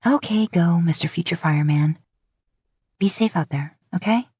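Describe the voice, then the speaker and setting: A woman's voice: lowish voice, moderate pace, a little mellow, slightly rough, no echo, studio quality. A woman voicing a sweet, playful young woman in an audio fan story, speaking in a light, quipping way. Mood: concerned, fond.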